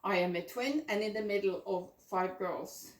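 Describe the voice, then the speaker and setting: An older woman delivers a prepared talk about her own views. Her phrasing is deliberate and earnest. The sound is distant from the microphone, with clear room echo around her medium-pitched voice. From Norway. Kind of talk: prepared personal presentation.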